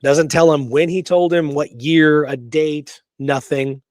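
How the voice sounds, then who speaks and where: A man co-hosting a casual history discussion, telling the story in an animated way with rhetorical questions. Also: on the high side, measured pace, no room sound, clean studio sound. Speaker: podcast co-host presenting history research.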